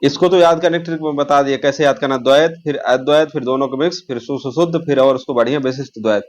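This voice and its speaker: Guy doing exam coaching, normal pitched voice, explains casually and keeps repeating stuff and asking questions. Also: fast, dull audio. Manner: instructional, repeats key terms and asks rhetorical questions.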